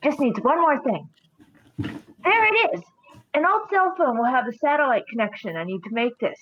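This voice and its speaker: A woman's voice: high-pitched, big pitch swings, moderate pace. A woman voicing an earnest, brainy young boy inventor in a cartoon comic dub. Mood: earnest, proud. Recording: muffled mic with clear background noise.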